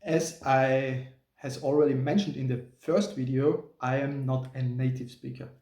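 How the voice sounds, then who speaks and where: A man's voice: medium pitch, steady tone, unhurried, a little mellow. A man in his thirties from Austria, a native German speaker with an Austrian German accent, talking to camera in a careful, hesitant non-native English with small restarts. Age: 30s. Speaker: channel host, software engineer. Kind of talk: casual talking-head vlog. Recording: roomy sound from a distant mic.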